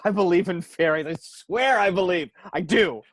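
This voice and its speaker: A man's voice: sits high, moderate pace, dry recording. A man playing an earnest, urgent heroic father in an amateur read of a fantasy adventure script.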